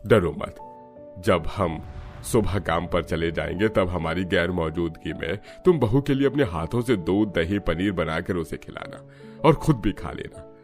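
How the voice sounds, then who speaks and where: A man voicing a stern family elder who gives firm instructions in a cartoon dub. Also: low voice. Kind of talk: cartoon dubbing.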